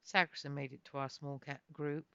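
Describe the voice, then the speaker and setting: A woman's voice: low-pitched, flat delivery, moderate pace, creaky, a bit bright, clear, resonant tone, dry recording, muffled recording. A woman reading her own novel as a dramatic first-person narrator, with theatrical, uneven emphasis.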